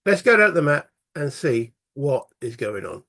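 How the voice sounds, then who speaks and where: A man, croaky from a cold, casually talking through a solo board game and thinking aloud with many fillers. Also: a little high, very animated, moderate pace, sharp tone. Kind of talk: casual tabletop playthrough commentary.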